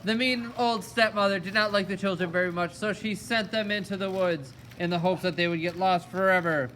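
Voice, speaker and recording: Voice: medium pitch, fairly quick, a bit bright, rough recording. A narrator telling a fairy tale in a storytelling style for a children's puppet show.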